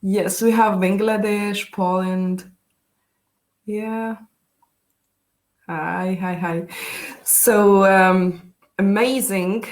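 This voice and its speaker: A woman's voice: mid-range voice, steady tone. Young tunisian woman hosting an online session, lots of uhs and ums. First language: Tunisian Arabic. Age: young adult. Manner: halting, many fillers, switches between English, Arabic and French. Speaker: session host. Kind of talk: live online panel moderation.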